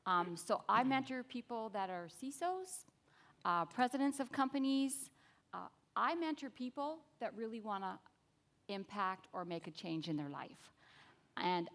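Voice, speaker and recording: A woman's voice: fairly high pitch, expressive, measured pace, fairly bright tone. A woman speaking openly and confidently as a conference panelist. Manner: open, confident. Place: conference stage. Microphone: microphone.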